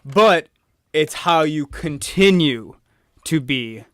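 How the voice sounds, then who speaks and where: A man's voice: a little high, animated, takes it slowly, fairly bright tone, no room sound, studio quality. A man in his early twenties co-hosting a podcast, talking earnestly in casual chat, swearing and asking "right?" a lot. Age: 20s. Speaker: podcast co-host.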